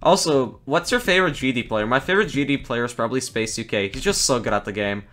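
A young man casually commentating his own game stream, excitable, laughing often and groaning at his fails. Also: mid-range voice, quick pace, faint music behind. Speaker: gaming streamer.

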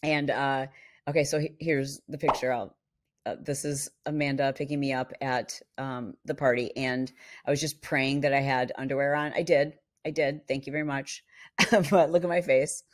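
A middle-aged woman co-hosting a casual podcast, her voice husky from a vocal cord injury, telling stories and acting out quotes. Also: very deep voice, moderate pace. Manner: animated storyteller, acts out quotes. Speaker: podcast co-host. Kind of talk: casual chat. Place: home studio. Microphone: studio mic on boom arm.

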